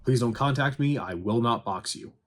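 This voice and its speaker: A man's voice: mid-range voice, moderate pace, noisy background. A man giving a casual scripted review commentary, with sarcastic dry humour and some swearing. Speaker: video game movie reviewer. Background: faint music.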